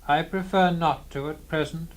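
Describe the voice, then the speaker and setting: An actor playing a withdrawn young law copyist who answers calmly, politely and flatly. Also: male voice, a little high, moderate pace, lightly acted. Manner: calm, polite, quiet, flat refusals. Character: young male scrivener, passive, withdrawn, stubbornly polite. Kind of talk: 1960s radio drama acting.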